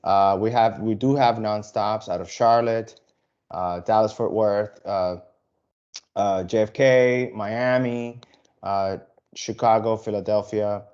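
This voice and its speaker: A man from the Dominican Republic, an airline sales representative, giving a casual, halting presentation to travel advisors, full of fillers and restarts. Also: on the low side, steady tone, a little mellow, clear, resonant tone, lo-fi sound.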